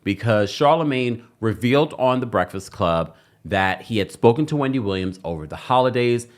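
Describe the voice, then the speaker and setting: An American man hosting an entertainment news commentary show, speaking with emphatic, deliberate phrasing. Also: mid-range voice. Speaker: YouTube host, pop culture commentator.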